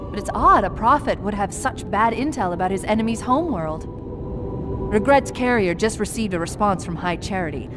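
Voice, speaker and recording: A woman playing a composed, dry-witted AI intelligence officer in a sci-fi game, briefing crisply and analytically. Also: mid-range voice, expressive, moderate pace, lightly acted. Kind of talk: cinematic sci-fi game acting. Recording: loud music behind.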